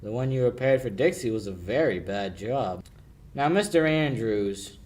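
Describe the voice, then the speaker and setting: A man's voice: mid-range voice, lively intonation, normal speed, a little mellow, lightly acted. A young male amateur actor playing a cocky, wisecracking sleuth with a confident, needling manner in a 1940s radio drama style. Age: young adult. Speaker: amateur high school actor.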